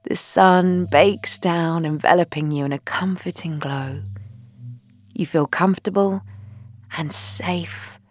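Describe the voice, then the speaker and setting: A woman leading a guided meditation in a soothing, gentle, unhurried way, leaving long pauses between phrases and softening into breathy endings. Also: lowish voice, dry recording. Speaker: guided meditation leader, founder.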